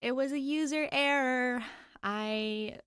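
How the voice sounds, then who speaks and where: A woman's voice: high-pitched, slow pace, fairly bright tone, smooth, no echo. A woman hosting and narrating a fan fiction reading, close to the microphone. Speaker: host and reader of a fan fiction podcast. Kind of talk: audiobook-style story narration with chatty host intro.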